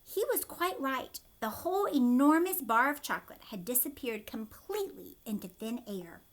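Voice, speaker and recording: Female storyteller for young children: teacherly, explanatory asides, expressive delivery, lots of pitch movement. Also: moderate pace. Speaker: teacher reading aloud.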